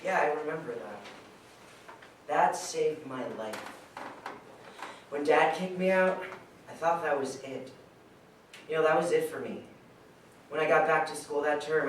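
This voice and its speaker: A man's voice: mid-range voice, lightly acted. Man playing candid young son Leo, echoey hall. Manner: wry, candid, casual. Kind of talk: staged reading, casual dialogue. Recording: very echoey hall, distant mic, noisy.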